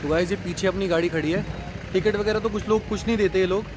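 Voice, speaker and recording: A man's voice: high-pitched, steady tone, fast, loud music behind the voice. A young man explaining things casually and repetitively to camera as a travel vlogger. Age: young adult. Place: outdoors by roads and parking, later in a parked jeep. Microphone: phone at arm's length.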